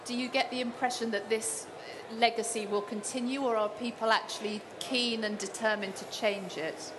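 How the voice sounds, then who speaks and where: A woman interviewer asking gentle, searching questions, with fillers as she forms them. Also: a little high, steady tone, moderate pace, noisy background.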